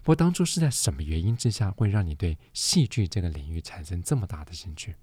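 A man's voice: low voice, sing-song, fast talker, a little mellow, husky, no room sound. A man with a Taiwanese accent hosting a podcast interview in a polished, articulate broadcast delivery. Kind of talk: scripted podcast introduction and interview.

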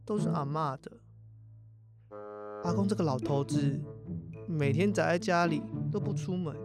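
A man's voice: fairly high pitch, normal speed, natural voice. A young man with a Taiwanese accent playing an impatient college student grandson in an everyday radio drama, speaking casually and expressively. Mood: annoyed, grumbling. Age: young adult. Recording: music bed behind the voice. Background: music.

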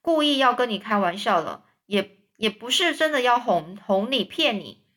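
A woman's voice: fairly high pitch, expressive, moderate pace, fairly bright tone. A woman doing a pleading mischievous boy. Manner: pleading, earnest. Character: cheeky young boy caught in a lie.

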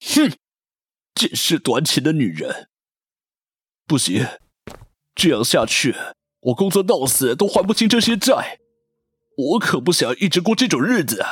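Radio drama gambler dad: middle-aged, desperate, self-justifying, raspy, fairly high voice. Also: male voice, sing-song, unhurried, pauses often, fairly bright tone, exaggerated voice. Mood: scheming, frustrated.

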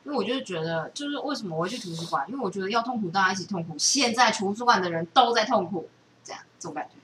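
A woman with a Taiwanese Mandarin accent chatting casually and telling anecdotes in an animated way. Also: medium pitch, rapid-fire. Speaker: podcast co-host. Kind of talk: casual chat, personal anecdotes. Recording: faint background noise.

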